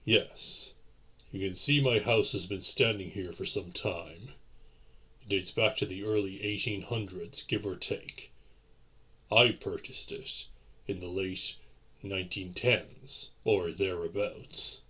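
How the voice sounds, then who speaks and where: A man playing a centuries-old vampire lord and courtly boyfriend in a romantic audio roleplay, with formal, old-fashioned diction and a husky voice. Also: deep voice, steady tone, slow, pushed and bright, heavily acted. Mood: measured, confiding.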